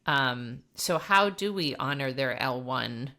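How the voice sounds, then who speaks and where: A woman's voice: rumbling low, animated, slow pace, pushed and bright. A woman hosting an education podcast, asking questions and affirming and summing up her guest's points. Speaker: podcast host. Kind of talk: casual podcast interview.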